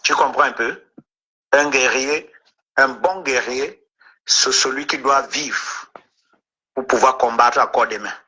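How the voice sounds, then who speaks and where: A man from Cameroon with an Anglophone Cameroonian accent speaks as a caller on a live stream. He is earnest and calming and leans on sayings. His voice is piercingly bright with a husky edge. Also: medium pitch, slow pace, pauses often, poor audio.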